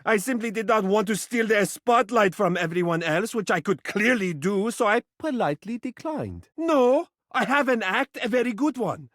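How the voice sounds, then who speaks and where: A man's voice: sits high, expressive, moderate pace, few pauses, fairly bright tone, no room sound. A living mirror from a video game, a male suitor both vain and tender. His melody swings widely as he speaks in florid, formal, affectionate phrases. The delivery is theatrical, and the sound is close and dry. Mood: haughty, boastful. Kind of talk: video game character voice acting.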